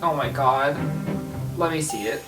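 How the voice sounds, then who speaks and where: A woman's voice: a bass voice, measured pace, pitched below the performer's own voice. Timid, secretive shelled fantasy creature acted by a woman, hesitant, tabletop game, music underneath. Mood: curious. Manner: hushed, hesitant. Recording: roomy sound, music underneath.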